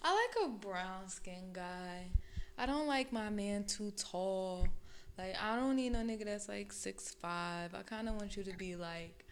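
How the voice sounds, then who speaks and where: A young woman co-hosting a casual chat, animated and laughing often as she mimics other people. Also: mid-range voice, unhurried, slight background noise.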